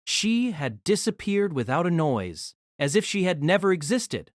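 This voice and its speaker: A man's voice: high voice, very animated, a little slow, no room sound, clean studio sound. A man giving a dramatic scripted narration of an action fantasy story.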